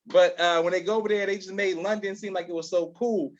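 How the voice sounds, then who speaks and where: Higher-pitched male podcast host. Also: steady tone, fast, lo-fi sound. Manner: effusive, repeats affirmations. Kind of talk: casual interview chat.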